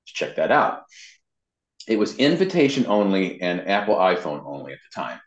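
Deep-voiced man telling stories, echoey room. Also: very animated, moderate pace. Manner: chatty storyteller, acts out quoted dialogue. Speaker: interviewee, amateur voice actor. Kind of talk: casual anecdotal interview talk. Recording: dull, roomy, echoey sound.

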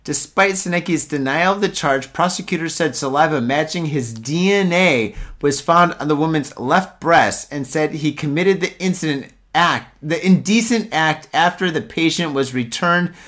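A man's voice: a little high, normal speed, few pauses, fairly bright tone. A man co-hosting a casual podcast, reading news stories aloud and joking crudely with lots of laughter. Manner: laughs a lot, reads news aloud, jokey mock voices. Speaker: podcast co-host.

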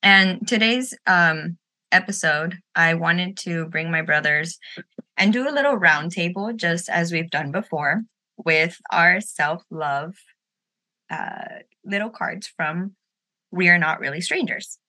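Bright-voiced woman hosting a podcast, low pitch. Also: slow. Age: late 20s. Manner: informal, playful, candid. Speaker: podcast host. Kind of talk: casual podcast roundtable chat.

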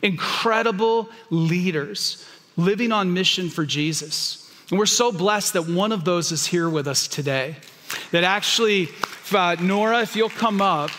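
A man's voice: high voice, a little slow, a bit bright, in a large hall. A man, the pastor, introducing a guest to his church congregation with moved, heartfelt storytelling. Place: church sanctuary.

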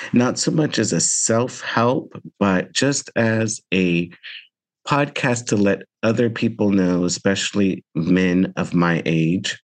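Male podcast host, 50s, American. Very low pitch, unhurried delivery, earnest and reflective. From Central Valley, United States. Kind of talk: reflective solo podcast talk.

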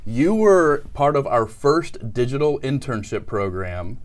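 A man hosts an interview show in a friendly, chatty way. He often trips over his words and restarts his questions, and his voice sits at an everyday pitch for a man. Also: sing-song, measured pace. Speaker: host. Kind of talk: casual interview.

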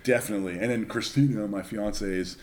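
A young man chatting casually as a podcast guest, telling stories in a relaxed, rambling way. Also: fairly low pitch, fairly quick. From Northern California. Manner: chatty storyteller. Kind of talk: casual chat.

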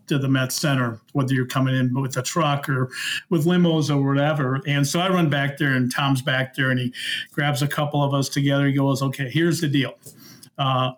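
A middle-aged man telling anecdotes as an interview guest, casually and in halting, conversational pieces. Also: medium pitch. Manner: halting, conversational, stepping through stories in small pieces. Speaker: interview guest, former concert security worker.

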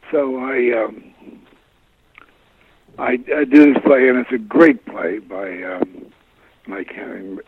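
Older male actor guest, gravelly voice, halting storyteller, heard over a phone line. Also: mid-range voice, no echo. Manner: rambling, halting, many fillers and restarts. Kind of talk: relaxed interview storytelling.

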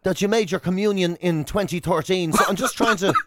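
Higher-voiced Irish man, casual comic banter. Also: a little fast, heavy background noise. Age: 40s. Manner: rambling, self-mocking storytelling. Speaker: radio show co-host.

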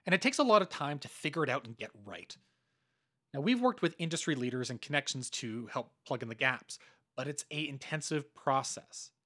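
A man's voice: medium pitch, fairly bright tone. A man narrating a scripted retrospective podcast as its host and producer. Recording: music playing behind the voice. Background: background music.

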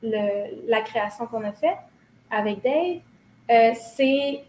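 A woman's voice: on the high side, animated, slow, darkish tone. A woman in her thirties with a Québécois accent, speaking as an interview guest in a reflective, hesitant way with many fillers and restarts. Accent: Québécois French. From Quebec. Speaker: guest, choreographer. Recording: roomy recording, faint noise.

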